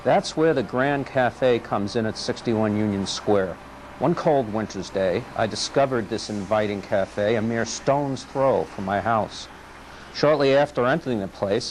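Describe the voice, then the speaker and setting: Wry man reading an essay, lower voice. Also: slight background noise, poor audio. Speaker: local poet. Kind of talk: reading a personal essay aloud. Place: recorded on location for public access TV.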